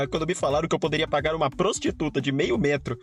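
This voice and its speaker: A man's voice: on the high side, huge pitch swings, a little fast, soft background music. A man playing a crude, mocking bar owner who hires mercenaries in an audio drama, sneering and vulgar. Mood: mocking, amused.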